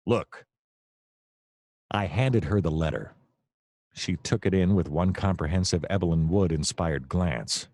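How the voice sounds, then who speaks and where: Man playing a deep-voiced, deadpan noir private eye. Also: a little slow, long pauses, no echo. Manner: deadpan, melodramatic. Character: seedy, self-deluded, wisecracking male noir private detective. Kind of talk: hardboiled noir first-person narration, comedic radio play.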